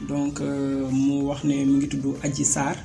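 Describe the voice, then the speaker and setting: A man interviewing, posing questions in a hesitant way with many pauses. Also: medium pitch, completely flat delivery, gravelly, mellow, soft background music.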